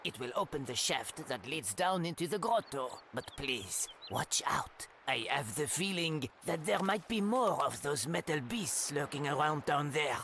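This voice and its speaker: A man voicing a gruff but helpful older mole in a cartoon adventure game, speaking gravely and deliberately. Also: on the high side, animated, sharp tone, a little hiss or hum, exaggerated voice.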